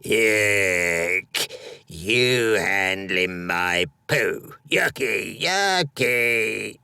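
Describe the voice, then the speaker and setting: A man voicing a gruff, blunt forest creature who talks in broken, clipped grunts for a storybook. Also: lowish voice, animated, drawn-out words, sharp tone, no room sound, studio quality, full character voice. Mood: revolted.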